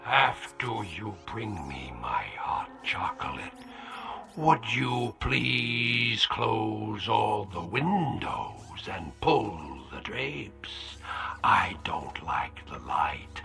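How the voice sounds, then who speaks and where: A man's voice: fairly low pitch, big pitch swings, drawn-out words, vocal fry, sharp tone, faint music behind, exaggerated voice. An actor playing a fussy, tyrannical robot that talks like a querulous old man, with formal diction in a vintage television drama. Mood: fussy, commanding. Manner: fussy, formal, wildly swooping delivery. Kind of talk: 1960s television drama acting.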